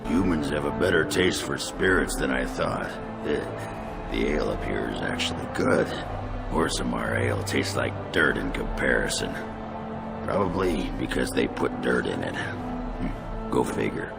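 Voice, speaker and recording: An actor playing a gruff, hard-drinking dwarf warrior in a video game, crude and jovial, with a gravelly voice. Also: male voice, rumbling low, expressive, a little slow, heavily acted. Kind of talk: video game character dialogue. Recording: loud music behind. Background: loud music.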